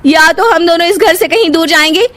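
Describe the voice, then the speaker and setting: A woman's voice: very high voice, a little fast, a bit bright, voice pushed up. A woman voicing a bossy, scolding elder daughter-in-law in a cartoon story. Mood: demanding.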